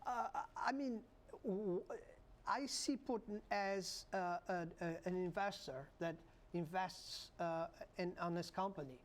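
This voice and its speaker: A man from Portugal, co-hosting a casual political chat and often pausing to search for words. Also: high voice. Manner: halting, searching for words, repeats himself. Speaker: talk show co-host.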